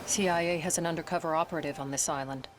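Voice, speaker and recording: A woman's voice: sits low, steady tone, very fast, a little hiss or hum, lightly acted. A woman acting a cool, confident intelligence agent in a video game, clipped and matter-of-fact in delivery.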